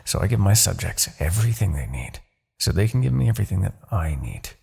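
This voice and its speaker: A demon king in a fantasy roleplay audio, immortal, smug and coldly persuasive. The voice is very husky and thick with gravel, and it swings expressively up and down. He lingers on words with theatrical, condescending menace. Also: low-pitched, big pitch swings, fast talker, darkish tone, full character voice. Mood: smug, scornful. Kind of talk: fantasy ASMR roleplay acting.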